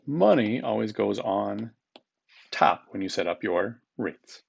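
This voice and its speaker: A man's voice: lowish voice, lively intonation, slow, vocal fry, breathy edge, lo-fi sound. A man speaking as a teacher, reading test questions aloud to students in an informal, instructive manner and repeating them.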